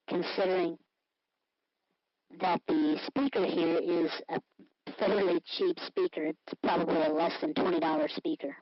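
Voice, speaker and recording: A man hosting an informal electronics tutorial, his voice pitched up by a voice changer through a cheap speaker. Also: dry recording. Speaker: tutorial host. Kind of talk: hands-on electronics demonstration. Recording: dull sound from cheap speaker.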